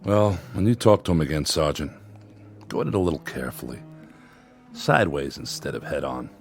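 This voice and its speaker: An actor playing a steady, tactful army captain who is protective of his men, in a war radio drama. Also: rumbling low, vocal fry, faint music behind, full character voice. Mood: careful, earnest. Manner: measured, tactful. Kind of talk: audio drama acting.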